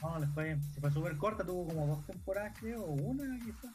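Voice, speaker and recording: Man, higher voice, enthusiastic, opinionated, faint music. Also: expressive, fast, darkish tone. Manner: enthusiastic, opinionated fan talk. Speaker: podcast co-host. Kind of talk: casual podcast chat.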